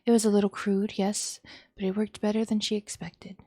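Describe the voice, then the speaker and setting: A woman's voice: medium pitch, little pitch movement, fairly quick, dark tone, no echo. Woman narrating a visual novel, dry sound. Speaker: streamer. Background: music.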